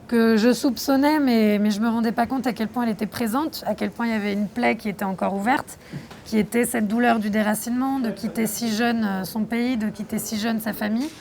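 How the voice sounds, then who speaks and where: Thoughtful young Frenchwoman, slightly high voice. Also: steady tone, fairly quick, vocal fry, a little mellow, slight background noise. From France. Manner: reflective, thoughtful, with frequent hesitations. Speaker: documentary filmmaker being interviewed. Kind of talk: interview answers.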